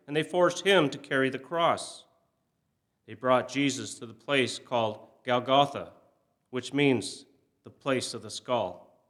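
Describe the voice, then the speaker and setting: A man reading scripture solemnly for a church service, measured and expressive, stressing quoted cries and letting phrase endings fall away softly. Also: medium pitch. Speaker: scripture reader.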